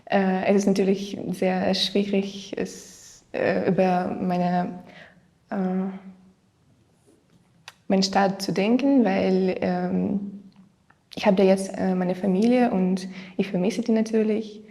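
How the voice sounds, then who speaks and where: Slow hesitant young woman, gravelly. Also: mid-range voice, steady tone, mellow. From Ukraine. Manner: hesitant, many fillers, slow and searching for words. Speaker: interviewee, dancer. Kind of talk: documentary interview.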